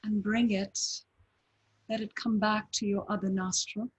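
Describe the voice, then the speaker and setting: A woman leads a breathing meditation at a very slow, unhurried pace. Her voice is airy and breathy, far from crisp. She lets each short phrase settle into a long pause. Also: medium pitch, little pitch movement, highs cut off. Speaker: meditation teacher.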